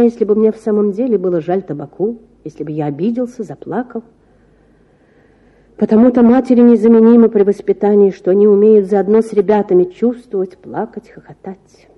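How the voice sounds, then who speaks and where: A woman's voice: mid-range voice, lo-fi sound, lightly acted. A woman playing a perplexed, cultured middle-aged father in a storybook, musing with rhetorical self-doubt. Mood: pensive.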